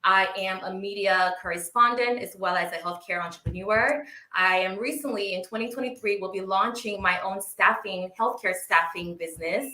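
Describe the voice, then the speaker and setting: A woman's voice: medium pitch, moderate pace, few pauses, pushed and bright, echoey room, rough recording. A woman joining a talk show as a guest, speaking politely and measuredly, with frequent ums. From Liberia. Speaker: panel guest, media correspondent. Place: remote from home, Los Angeles.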